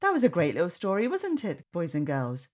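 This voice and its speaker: A woman reading a storybook aloud to children in an expressive, sing-song way. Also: fairly low pitch, fairly quick. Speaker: storytime reader. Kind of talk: children's read-aloud narration.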